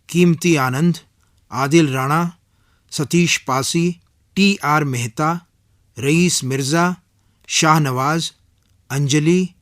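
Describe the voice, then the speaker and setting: A man giving a formal scripted broadcast announcement as a radio announcer, in measured phrases. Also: fairly high pitch, a bit bright, no echo, studio quality. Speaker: radio announcer reading the closing credits.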